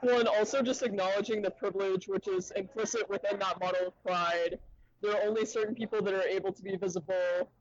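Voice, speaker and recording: Young man, high voice, academic, hesitant ums, dry lo-fi sound. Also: steady tone, fast talker, no room sound. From Little Rock, Arkansas, USA. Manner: thoughtful, academic, many filler ums and uhs. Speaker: podcast guest. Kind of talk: casual interview talk. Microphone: remote call from a conference.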